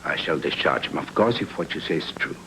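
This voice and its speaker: Formal, evasive rich gentleman, animated pitch. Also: fairly quick, slight background noise, full character voice. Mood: measured unease. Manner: precise, courteous, formal. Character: wealthy, formal, evasive husband who shuns attention. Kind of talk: film noir radio drama acting.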